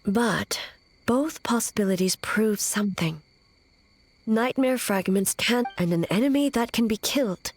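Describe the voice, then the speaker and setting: Commanding warrior ruler, game character, grave, measured, formal, medium pitch. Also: female voice, expressive. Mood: resolute. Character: solemn, commanding female shogun, grave and resolute. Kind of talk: game voice acting.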